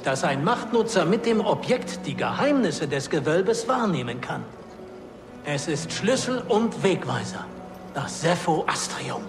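Slow, reverberant scholarly mentor. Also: male voice, on the high side. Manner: measured, explanatory. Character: solemn scholarly mentor in a recorded message. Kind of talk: audio drama acting. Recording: long hall reverb.

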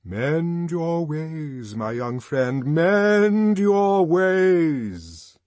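A booming, pompous churchman. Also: very animated, darkish tone, dry recording, full character voice. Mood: severe. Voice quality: ringing, sepulchral. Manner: slow, grave pronouncements. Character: pompous, solemn high-ranking male churchman.

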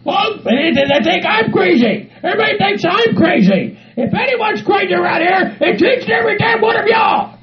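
A man's voice: extremely high pitch, animated, brisk, vocal fry, some chatter behind, heavily acted. A man playing an agitated, exasperated hillbilly with a Southern US accent, ranting repetitively in a comedy skit. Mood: exasperated, angry. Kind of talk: improvised comedy skit in character voices. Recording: muffled lo-fi recording with faint music.

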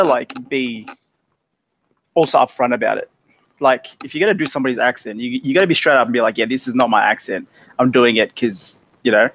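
A man's voice: medium pitch, fairly bright tone. Young Australian man, muffled sound. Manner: easygoing, earnest, uses lots of fillers. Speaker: podcast co-host. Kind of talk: casual chat. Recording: dull, slightly muffled with faint hiss.

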